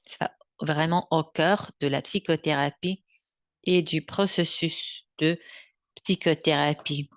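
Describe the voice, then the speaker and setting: A Belgian French woman in her seventies gives a therapy webinar in a low, deep voice. She speaks thoughtfully, pausing often to search for words. The sound is dry and close, picked up by a computer mic on a video call. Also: fairly even intonation, dry recording. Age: 70s. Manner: unhurried, thoughtful, with frequent hesitations. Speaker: bioenergetic therapist giving a webinar. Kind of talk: webinar lecture.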